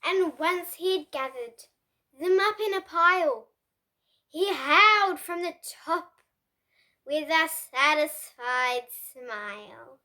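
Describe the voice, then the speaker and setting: A child reading a picture book aloud in an expressive, halting way, with asides to young listeners. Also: sits high. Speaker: child reader, host of a kids' book channel.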